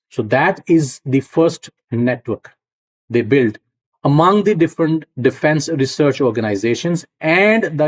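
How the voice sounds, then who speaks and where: A man's voice: mid-range voice, darkish tone, poor audio. A middle-aged man from Punjab with a Punjabi accent, giving a university lecture and explaining ideas step by step while checking in with his students. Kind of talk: classroom lecture.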